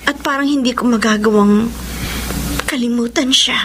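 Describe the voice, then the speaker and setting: Casting: actress for soft-hearted, apologetic Filipino woman in love, radio soap, fairly high pitch, clear background noise. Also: moderate pace, close to an everyday voice. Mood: wistful. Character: lovelorn, gentle, apologetic working woman. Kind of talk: Filipino radio drama acting. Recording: noisy, with background ambience.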